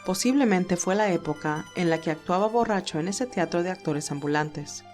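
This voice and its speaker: A woman's voice: on the low side, normal speed, a little mellow, soft background music. A woman narrator reading a scripted romantic story.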